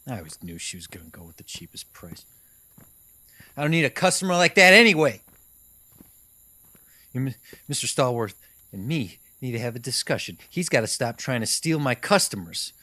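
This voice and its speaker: A man's voice: mid-range voice, sing-song, moderate pace, pauses often, pushed and bright, no echo. A man playing a frustrated, bitter small-town mechanic who grumbles and swears to himself in a radio mystery drama. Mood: brooding, uneasy.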